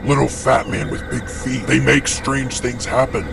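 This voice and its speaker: Man playing a gravelly, sneering diesel engine bully. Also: background noise. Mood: sly teasing. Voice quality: rumbly, purring. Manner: mocking, sneering, drawn-out menace. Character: teasing, sly, smug male diesel engine bully. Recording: loud music behind the voice.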